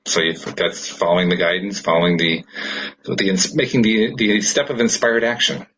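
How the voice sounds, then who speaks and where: A man hosting a casual podcast conversation in an upbeat, enthusiastic manner, with a husky, rough-edged voice. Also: deep voice, steady tone, normal speed, fairly bright tone, a little hiss or hum, rough recording. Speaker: podcast host.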